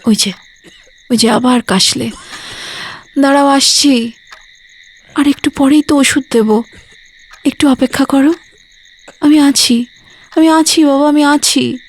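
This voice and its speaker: A woman's voice: sits high, steady tone, slow, long pauses. A woman playing a weary, devoted daughter who cares for her bedridden father, confiding and emotional in an intimate audio drama monologue. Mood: reassuring.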